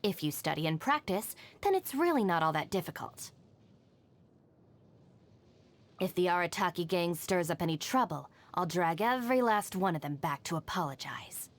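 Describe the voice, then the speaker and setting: A woman's voice: lowish voice, sing-song. An actress voicing a capable, no-nonsense young female gang deputy in a video game, matter-of-fact and dryly confident. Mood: businesslike, composed. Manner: matter-of-fact, composed, brisk. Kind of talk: video game character voice lines.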